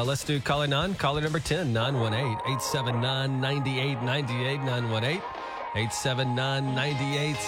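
A grown man co-hosting a morning radio show, easygoing in banter and contest plugs, his voice sounding rough and worn. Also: medium pitch. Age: adult. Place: radio studio. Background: music bed.

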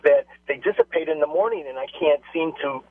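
A man's voice: fairly high pitch, fast. A man calling into a radio show, speaking hesitantly with many fillers. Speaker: caller. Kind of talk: phone-in call. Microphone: telephone. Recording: husky tinny phone line.

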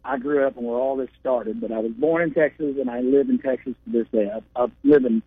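A man's voice: medium pitch, steady tone, a little fast, slightly rough, dry recording. A man from Oklahoma, a touring musician, chatting easily as a guest over the phone and laughing now and then. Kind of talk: casual interview by phone. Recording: tinny phone line.

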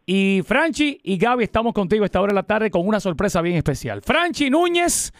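A man hosting a live radio interview, with a lively announcer delivery and frequent fillers when chatting. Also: high-pitched, fairly quick, no room sound. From Puerto Rico. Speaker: radio host.

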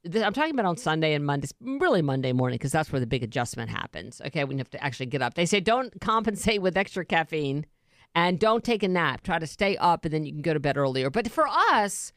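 Female radio co-host, middle-aged, wry and talkative, lively pitch, dry studio sound. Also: fast, dry recording. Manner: chatty, laughs often, wry. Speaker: radio morning show co-host. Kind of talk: casual radio banter. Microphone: studio microphone.

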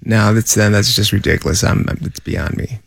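Male radio co-host, gravelly, relaxed, laid-back, plays straight man, dry studio sound. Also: lowish voice, normal speed, dry recording. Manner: easygoing, laughs along, reads out news items. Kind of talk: casual banter reading crime stories.

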